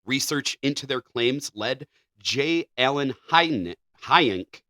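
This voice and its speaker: Male co-host with a crisp, bright edge, reading stories aloud in a conversational way. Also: medium pitch, animated, takes it slowly, dry recording, clean studio sound. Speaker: podcast co-host. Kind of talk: casual chat and reading news articles aloud.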